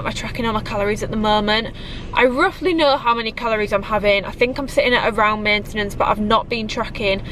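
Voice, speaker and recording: A woman's voice: mid-range voice, noisy background. A British woman in her twenties, chatting casually to camera with lots of likes and ums, her nose a little blocked from a cold. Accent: British English. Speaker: fitness vlogger. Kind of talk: casual vlog chat to camera. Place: parked car, also home.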